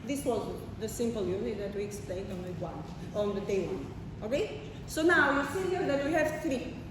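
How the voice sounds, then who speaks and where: A woman's voice: fairly high pitch, expressive, normal speed. A woman lecturing a class, explaining step by step with fillers, restarts and frequent "okay?" checks, heard from far off in a large hall. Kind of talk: classroom lecture with questions to students. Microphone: far room microphone. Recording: echoey hall from far off, noisy.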